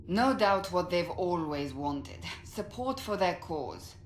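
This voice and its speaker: A woman's voice: lowish voice, very animated, slow pace, echoey room, soft background music, full character voice. An actress playing a stern, skeptical woman warrior and advisor in a video game, blunt and serious. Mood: stern skepticism. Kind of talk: video game character acting.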